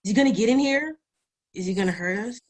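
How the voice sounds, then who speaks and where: A woman in a screenplay table read voicing an anxious, insistent young girl who blurts out questions in a husky voice. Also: a little high, animated, quick pace. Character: anxious, pushy young girl, eager and easily frightened.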